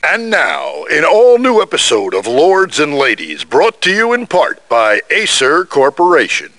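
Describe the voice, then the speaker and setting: Wildly swooping TV soap announcer. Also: male voice, fairly high pitch, huge pitch swings, measured pace, a bit bright, no echo, exaggerated voice. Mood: grandiose. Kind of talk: dramatic television promo announcing.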